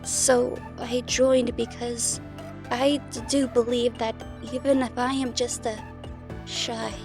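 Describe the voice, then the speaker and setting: A shy, lonely young girl, played by a woman, speaks slowly in a high voice. She stammers and hesitates in a sad, timid way, as in an audio drama, with music clearly behind her.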